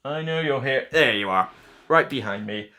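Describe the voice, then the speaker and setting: A man in his thirties with a mid-pitched voice talks casually to camera as the host of a board game channel. His delivery is unscripted, chatty and emphatic, slipping into imagined reactions from players as he explains things. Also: animated, moderate pace, bright, forceful tone. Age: 30s. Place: small indoor room lined with shelves of games.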